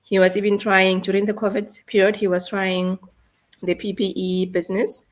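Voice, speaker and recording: A woman in her thirties with a South African accent, telling a painful personal story in a halting, hesitant way. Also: medium pitch, flat delivery. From South Africa, North West. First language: Setswana. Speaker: guest. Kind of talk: emotional personal account.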